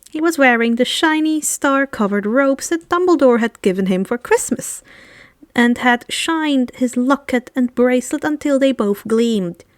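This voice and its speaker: High-voiced woman reading a story aloud. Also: no room sound, studio quality. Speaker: amateur audiobook reader. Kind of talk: expressive story narration.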